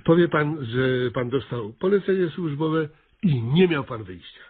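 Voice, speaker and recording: An actor playing an exasperated, bossy small-town mayor in a radio comedy, stammering and exclaiming with absurd earnestness. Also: male voice, fairly high pitch, lively intonation, a little slow, breathy edge, narrow, tinny audio. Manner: stammering repetitions, bureaucratic, exclamatory. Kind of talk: radio comedy play acting.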